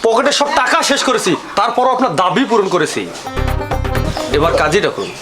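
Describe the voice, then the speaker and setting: A man's voice: high-pitched, very animated, fairly quick, over music. A young man playing a desperate, lovestruck suitor in a comic drama, pleading and melodramatic. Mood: triumphant, boastful.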